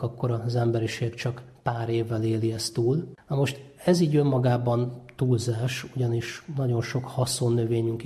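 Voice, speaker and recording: A male academic explains things conversationally in a dark, relaxed voice. His intonation stays quite flat at an average male pitch. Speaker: ecologist and university lecturer interviewee.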